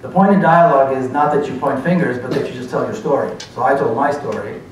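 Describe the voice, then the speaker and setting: A man's voice: mid-range voice, fast talker, far from the mic, noisy background. Israeli man lecturing in an echoey hall. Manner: earnest, storytelling. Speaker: speaker. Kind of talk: university lecture.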